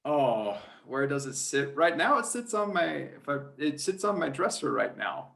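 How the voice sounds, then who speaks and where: A man's voice: on the high side, very animated, normal speed, vocal fry, fairly bright tone. A young American man talking casually as an interview guest. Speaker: podcast guest, sports play-by-play announcer.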